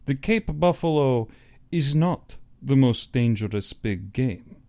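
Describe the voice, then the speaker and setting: A man's voice: mid-range voice, animated, dark tone. A man voicing a suave, courtly aristocratic Russian general, an affable but sinister hunter, in an audiobook. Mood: proud, menacing. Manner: courtly, measured, self-satisfied.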